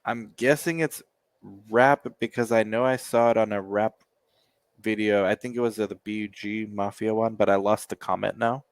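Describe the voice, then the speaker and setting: A man co-hosting a relaxed reaction chat, rambling along with sarcastic asides. His voice sits on the low side. The sound is dry and close. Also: moderate pace, no echo. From United States. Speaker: co-host reacting to music.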